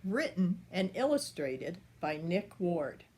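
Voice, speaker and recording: An older woman reading a picture book aloud for children in an expressive, unhurried way. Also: fairly low pitch. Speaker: storyteller.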